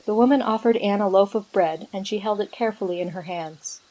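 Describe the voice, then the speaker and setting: A woman narrates a scripted story in a mellow, dark-toned voice. Her pitch sits in the middle range for a woman, as the narrator of an audio drama. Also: fairly quick, a little hiss or hum, rough recording.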